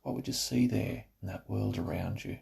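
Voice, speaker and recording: A man narrating a scripted guided relaxation, giving slow, instructive phrases with pauses. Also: lowish voice, creaky, darkish tone.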